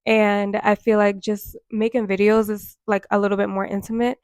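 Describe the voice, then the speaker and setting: Nasal twenty-something woman with a cold, bone tired, chatty and earnest, pretty flat delivery, dry close mic. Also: medium pitch, moderate pace, dry recording. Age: 20s. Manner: chatty, earnest, many fillers. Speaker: vlogger. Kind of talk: casual talk to camera. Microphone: microphone.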